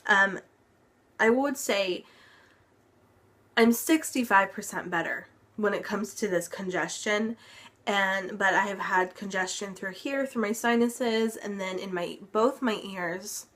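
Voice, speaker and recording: Slow, unhurried young woman vlogger, stuffy-nosed and recovering, rambling casual update. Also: medium pitch, fairly bright tone. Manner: chatty, lots of ums, occasional laughs. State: congested, recovering from illness. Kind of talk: casual health update vlog.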